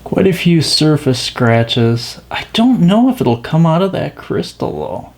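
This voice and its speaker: A man's voice: a little high, very animated, measured pace. A man giving casual, informal hands-on commentary, thinking aloud as he works. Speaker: watch restoration video host.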